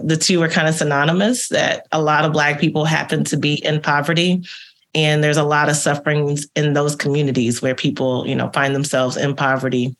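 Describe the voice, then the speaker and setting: A woman's voice: low voice, fairly bright tone. A woman therapist from Texas, telling her life story earnestly as a podcast guest. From Texas, USA. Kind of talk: personal storytelling in an interview.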